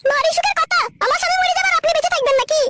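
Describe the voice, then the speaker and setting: A woman's voice: extremely high pitch, very fast, very noisy. A woman voicing a plaintive, naive young daughter-in-law in a comic cartoon dub, whining.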